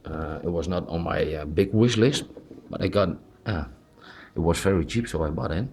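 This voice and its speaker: A man's voice: low-pitched, normal speed, a little mellow, breathy edge. A man from the Netherlands with a Dutch accent, speaking English as a second language in a hesitant, informal, unscripted way as he reviews collectibles. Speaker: amateur collectible toy reviewer. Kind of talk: casual unscripted hands-on review.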